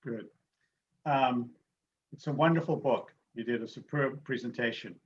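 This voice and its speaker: A man informally moderating an online talk, with hesitant, measured phrasing over dull video call audio. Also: mid-range voice. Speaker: discussion moderator.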